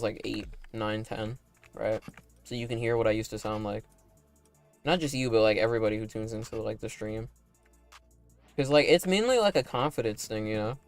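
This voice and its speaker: Laid-back young man, slightly raspy voice. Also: medium pitch. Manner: laid-back, rambling, full of likes and tag questions; talking in a put-on broadcast voice. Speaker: animator and streamer. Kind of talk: casual livestream chat while playing a game.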